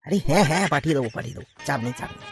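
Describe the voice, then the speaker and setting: A man's voice: a little high, animated, very fast, over music, lightly acted. A man voicing a swaggering young village sidekick in a cartoon, blustering and repeating his words. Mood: eager. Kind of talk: exaggerated cartoon dialogue.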